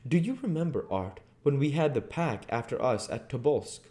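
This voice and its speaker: Guy doing an eager gun-loving hunter from a storybook, pitched way up, keen n forthright. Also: expressive, normal speed, warm tone, natural voice. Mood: keen, confident. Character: eager male hunter, practical, fond of guns. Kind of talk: dramatic audiobook character reading.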